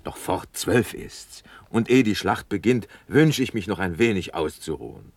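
An actor playing a brisk, impatient, down-to-earth officer in a classical German radio play, with a blunt, exasperated delivery. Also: male voice, on the low side, lively intonation, slight background noise. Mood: impatient, teasing.

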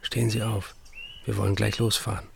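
An actor voicing a brisk, insistent male friend in a radio play, urging in a businesslike way. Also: fairly low pitch, flat delivery, gravelly, darkish tone, a little hiss or hum.

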